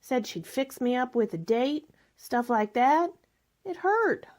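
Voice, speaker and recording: A faded, lonely tippler played by a woman in a radio drama. Her voice is fairly high and swings widely in pitch, wistful and self-pitying with a bitter edge. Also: animated, takes it slowly, darkish tone.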